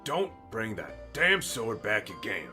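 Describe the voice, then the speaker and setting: A man's voice: fairly low pitch, wildly swooping pitch, takes it slowly, music in the background, exaggerated voice. A man voicing a blunt, folksy, kindly shopkeeper and smith in a fantasy audio drama. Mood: gruff, kindly.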